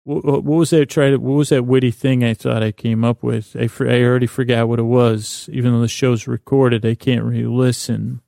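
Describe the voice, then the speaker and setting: A man with a creaky voice hosting a bedtime podcast, meandering and halting in a lulling, self-deprecating monologue. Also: mid-range voice, flat delivery, dark tone, dry recording, studio quality. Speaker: podcast host.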